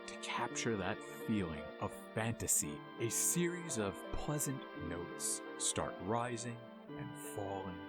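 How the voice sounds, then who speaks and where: A man narrating a scripted video essay as its host, with an expressive, dramatic storytelling delivery. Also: on the low side, unhurried, hardly any pauses. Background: music under the voice.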